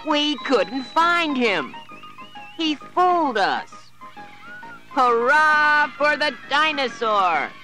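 Guy doing squeaky thrilled little kids, super high and lively. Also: slow, soft background music, heavily acted. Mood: excited. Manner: squealing, excited. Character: excited group of young children.

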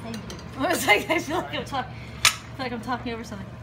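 A woman's voice: on the high side, lively intonation, quick pace, vocal fry, heavy background noise. A woman in her thirties, a chef giving a live cooking demonstration in a home kitchen, talking in a chatty, enthusiastic way and often repeating herself. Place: home-style kitchen, indoors.

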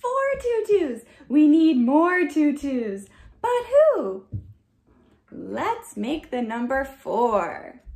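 Animated, high-pitched young woman reading to children. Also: vocal fry, reverberant. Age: young adult. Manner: animated, slow, sing-song, addressing children. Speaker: children's dance teacher reading picture books. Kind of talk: read-aloud for young children. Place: small furnished room indoors. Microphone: camera a short distance away.

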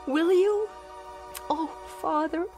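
An actress playing a bitter, rebellious young daughter in a radio drama, with a biting, theatrical delivery. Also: female voice, very high-pitched, slow, a little mellow, soft background music. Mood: anguished.

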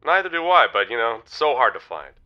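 A man's voice: medium pitch, sing-song, quick pace, muffled recording, lightly acted. An actor playing an eager, lovelorn local man phoning in to a radio show in an audio drama. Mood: hopeful, hesitant. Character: eager, lovelorn, self-doubting local male caller. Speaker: caller.

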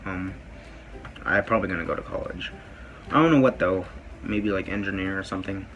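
A teenage boy with a deep voice talks into a phone camera in a small bedroom. He rambles casually, full of "um" and "like". A faint hum of room noise sits behind him. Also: brisk, fairly bright tone, lo-fi sound. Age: teens. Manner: rambling, many fillers and "freaking". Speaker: vlogger. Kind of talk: casual vlog talk to camera. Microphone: phone camera at close range.

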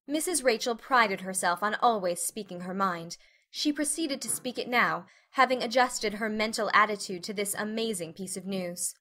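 A woman's voice: mid-range voice, moderate pace, pushed and bright. A woman reading scripted literary narration as an audiobook narrator.

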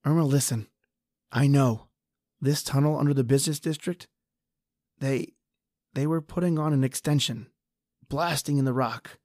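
A woman's voice: extremely low pitch, normal speed, long pauses, darkish tone, dry recording, studio quality. A woman playing a frightened, injured man hiding in a tunnel, stammering and panicky, in an old-time radio drama. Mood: tense, hushed.

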